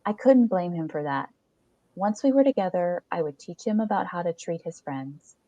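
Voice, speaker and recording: A woman narrates a first-person short story aloud. Her voice sits a little low for a woman. The recording is very dry and somewhat dull, lacking top-end detail. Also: lively intonation, moderate pace, dark tone, no echo.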